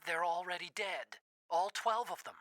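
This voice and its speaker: A bright-voiced, nervy tech ally. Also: male voice, sits high, moderate pace, dry recording, studio quality. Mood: hesitant, grave. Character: nervy, bookish male tech-support ally, hesitant and grave. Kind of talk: dramatic game radio-call dialogue.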